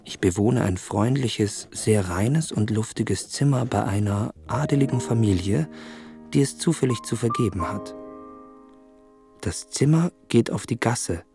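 An actor playing an earnest, observant young gentleman in a German radio drama, speaking his thoughts in measured, literary phrases. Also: on the low side, animated, faint music behind. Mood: formal, composing. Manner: measured, reflective, articulate. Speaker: lead actor.

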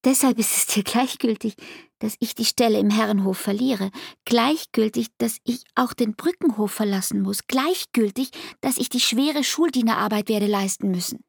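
An actress playing a hurt young barmaid in a radio play, speaking intimately and deliberately in a breathy, hushed voice. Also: female voice, on the high side, dry recording, clean studio sound. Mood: bitter reproach. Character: Frieda, hurt young barmaid reproaching her lover. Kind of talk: radio play acting, literary monologue.

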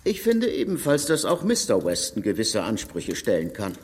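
A dry, sarcastic husband. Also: male voice, lowish voice, wildly swooping pitch, moderate pace, a little mellow, long reverb tail, a little hiss or hum. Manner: dry, ironic, matter-of-fact. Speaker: actor in a radio play.

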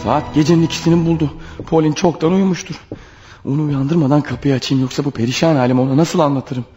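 A male actor as a guilty husband on the run in a radio drama. His high voice rushes along, stammering and snapping in nervous evasion, with music underneath. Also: fairly even intonation, warm tone, muffled recording, close to an everyday voice. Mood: anguished. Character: panicky, guilt-ridden husband turned killer on the run. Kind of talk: radio play acting with muttered monologues.

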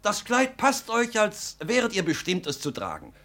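An actor in a German radio play, playing a smooth-talking travelling dress trader with an effusive salesman's manner. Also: high-pitched, wildly swooping pitch, normal speed, sharp tone, full character voice. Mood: flattering.

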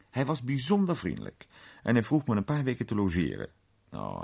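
An actor playing a genial male film star who tells a strange story conversationally in a radio play. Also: deep voice.

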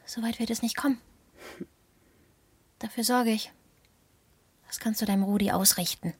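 A radio drama heroine, a self-important postwoman with dreams of stardom. Her voice is fairly high and husky, recorded very dry and close. She insists on her way with stubborn defiance. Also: steady tone, moderate pace, dry recording. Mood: hurt, intense. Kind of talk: German radio drama acting.

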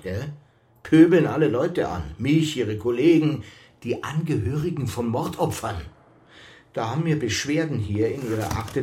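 An actor playing an indignant police superior in a radio crime drama, scolding officiously with a Bavarian accent. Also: male voice, mid-range voice, a little mellow, slight background noise, natural voice.

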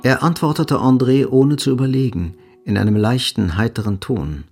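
A man's voice: medium pitch, moderate pace, a little mellow, husky, faint music behind. A man narrating a German radio drama in a scripted, literary style.

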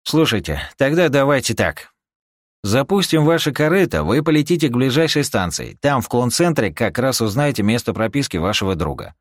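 Casting: man voicing an excitable, talkative ship engineer for an audiobook, raised pitch, very animated, fussy delivery. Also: fairly quick, dry recording, clean studio sound. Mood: explaining, urgent. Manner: agitated, wheedling, colloquial.

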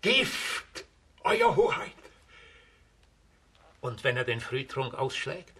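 A servile, uneasy innkeeper in a children's radio drama. He speaks slowly and hesitantly with a husky, unclear tone. The pitch slides about a lot as he grovels. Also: big pitch swings, pushed and bright, exaggerated voice. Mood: anxious. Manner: obsequious, hesitant. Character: servile, nervous, shifty innkeeper and reluctant poisoner. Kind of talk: German children's radio play acting.

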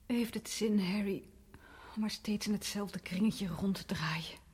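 Anguished female visitor, very breathy, whispery, halting, tender, radio play. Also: medium pitch, darkish tone. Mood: pleading, pained. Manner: halting, fraught, repeating words. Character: distraught, tender woman visiting a condemned man. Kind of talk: radio drama acting.

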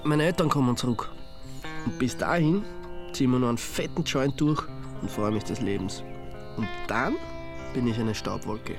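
Schauspieler als trockener junger österreichischer Hobbydetektiv, mit Musik. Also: male voice, medium pitch, normal speed, pauses often, music in the background, lightly acted. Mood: resigned, wry. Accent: Austrian. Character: wry, resigned young amateur sleuth. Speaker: radio drama actor, lead part. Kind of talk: naturalistic radio drama dialogue.

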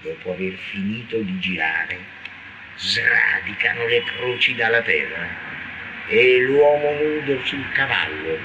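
A man giving a theatrical recitation of poetry on radio, declamatory and halting, drawing out words with strong swings in emphasis. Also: lowish voice, expressive, muffled recording. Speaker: reader performing a poetic radio text. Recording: noisy radio broadcast recording.

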